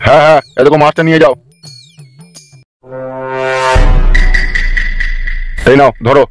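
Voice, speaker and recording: A man's voice: fairly high pitch, steady tone, racing, loud music behind the voice, close to an everyday voice. A man voicing a genial, fussy middle-aged village father in a comedy cartoon, chatty and insistent.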